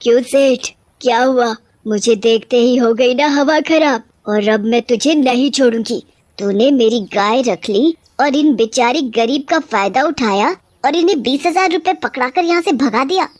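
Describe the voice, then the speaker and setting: Woman acting a cartoon witch, female, high-pitched, menacing, bossy, scolding, dry sound. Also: unhurried, darkish tone, no echo. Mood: angry, threatening. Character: vengeful but fair female witch, scary and bossy.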